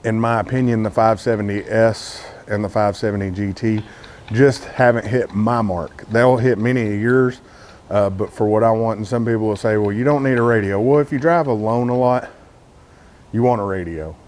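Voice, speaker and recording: A man's voice: on the low side, moderate pace, a little mellow. A man from Texas with a Texan accent, talking casually to camera as a car vlogger, candid and rambling with lots of "uh" fillers.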